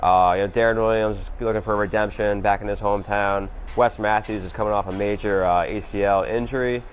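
Male co-host, lowish voice, measured conversational sports analysis, background noise. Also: fairly even intonation.

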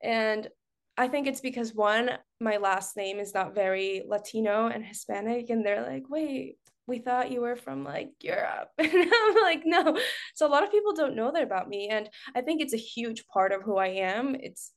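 Higher-pitched young woman from Costa Rica, chatty. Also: moderate pace. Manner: chatty, laughs often while talking. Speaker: podcast guest. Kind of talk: casual personal chat.

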